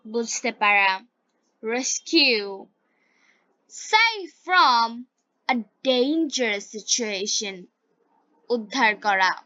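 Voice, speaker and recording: A woman with a Bengali accent reading like an English teacher for learners, in short, deliberate, carefully enunciated phrases. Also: on the high side, expressive, pushed and bright, no room sound, muffled recording. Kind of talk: slow scripted story reading and vocabulary lesson for learners.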